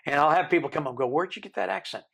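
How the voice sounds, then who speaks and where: A man in his sixties, from the Isle of Man, with a Birmingham accent softened by decades in America, telling his life story in an interview with rambling, joking asides. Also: a little high, racing, creaky, slightly rough. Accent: American-tinged Birmingham English. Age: 60s. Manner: rambling, joking, self-deprecating. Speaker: interview guest.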